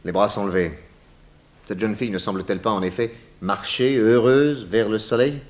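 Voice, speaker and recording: An actor playing a bold, shrewd detective with an assured, grave delivery in a 1960s radio drama on a noisy old recording. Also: male voice, fairly low pitch, moderate pace, close to an everyday voice. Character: bold, shrewd male detective, confident boss to his assistant.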